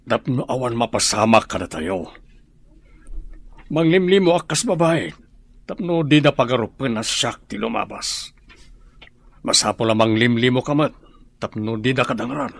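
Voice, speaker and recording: A man's voice: mid-range voice, animated, normal speed, vocal fry, pauses often. A man acting a watchful, scheming man who urges and explains in a radio drama. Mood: conspiratorial. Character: scheming, watchful adult man giving instructions.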